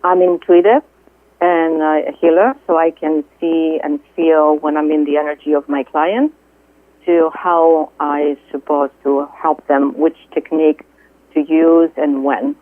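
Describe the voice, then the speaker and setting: A middle-aged woman from Greece with a Greek accent, a guest speaking earnestly and haltingly, with many fillers, over the phone. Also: low-pitched, fairly even intonation, dry recording. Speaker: guest, Reiki healer. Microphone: telephone. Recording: tinny dry phone line.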